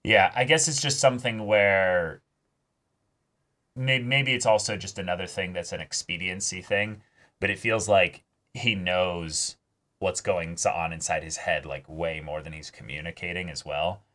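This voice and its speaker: A man's voice: low voice, steady tone, measured pace, sharp tone. A man in a casual discussion as a reaction show host, reflective and hesitant as he searches for words. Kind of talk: casual discussion of an anime episode.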